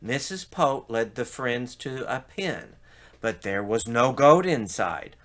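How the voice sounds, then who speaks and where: Bright, animated man reading a storybook. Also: medium pitch, slow pace. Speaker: storybook reader. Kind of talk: expressive children's story read-aloud.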